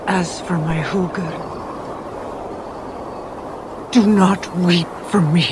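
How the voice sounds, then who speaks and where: An actor voicing a proud, defiant giant lord in a video game, with a theatrical, measured and scornful delivery. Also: male voice, high-pitched. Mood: anguished defiance. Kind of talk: dramatic video game voice acting. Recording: clear background noise.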